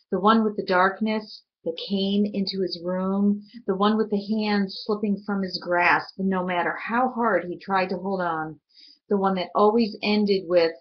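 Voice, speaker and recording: A woman reading a storybook aloud in an expressive, deliberate way, with dramatic pauses. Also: medium pitch, husky. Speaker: reader. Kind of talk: storybook read aloud, expressive scripted narration. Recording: dull lo-fi recording.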